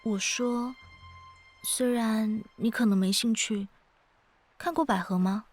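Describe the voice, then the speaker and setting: A woman playing an ageless, gentle and wistful ferrywoman of souls in an audio drama, speaking in a reflective, poetic way with a quiet teasing edge. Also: fairly high pitch, steady tone, slow pace. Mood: wistful narration. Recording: studio voice over background music.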